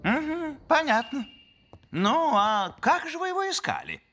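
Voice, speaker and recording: A man's voice: very high-pitched, very animated, unhurried, pushed and bright, a little hiss or hum, full character voice. A man voicing a courteous, know-it-all moose teacher in an educational children's cartoon, theatrical and polite. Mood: inquisitive, polite. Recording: music behind the voice.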